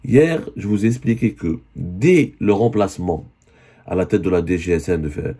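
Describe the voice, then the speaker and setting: A man with a voice hoarse from a cold, giving an earnest spoken news commentary and often correcting himself. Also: sits low, normal speed, warm tone. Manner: earnest, with many self-corrections.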